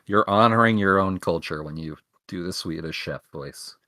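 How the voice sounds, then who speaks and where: A young man co-hosting a casual podcast, rambling through tangents and poking fun at himself. His voice sits on the low side and is recorded dry and close to the mic. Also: moderate pace, pushed and bright, dry recording. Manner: rambling, self-mocking jokes. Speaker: podcast co-host. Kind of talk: casual chat.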